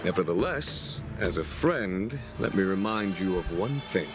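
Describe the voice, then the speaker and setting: A composed military commander in a game story. His voice sits low and he speaks in unhurried, formal phrases. Loud music plays behind him. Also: expressive. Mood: calm, measured. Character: calm, measured male general of the Cloud Knights. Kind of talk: scripted video game voice acting.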